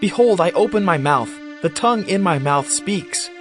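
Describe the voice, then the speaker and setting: Fervent young challenger in Bible drama. Highish voice, very animated, insistent declamation, music underneath. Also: male voice, normal speed. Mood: earnest challenge. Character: fervent, indignant young man rebuking his elders. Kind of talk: dramatized scripture reading.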